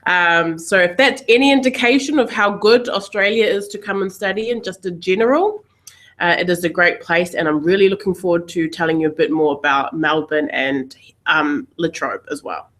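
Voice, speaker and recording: A woman's voice: fairly low pitch, normal speed, a bit bright. A woman, a university recruitment coordinator giving a friendly webinar presentation over a video call. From New Zealand.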